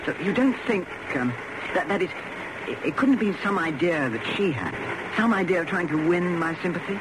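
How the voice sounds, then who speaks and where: Bashful British agent, very noisy recording. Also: male voice, high-pitched, sing-song, normal speed. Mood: puzzled. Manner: hesitant, stammering, polite. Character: bashful novice secret agent hairdresser, flustered and eager. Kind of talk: radio drama acting. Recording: very noisy old radio drama recording.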